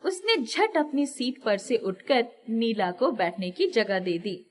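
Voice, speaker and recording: Female storyteller: raised pitch, lively swings, expressive reading of children's folk tales. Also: unhurried. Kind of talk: scripted children's story narration.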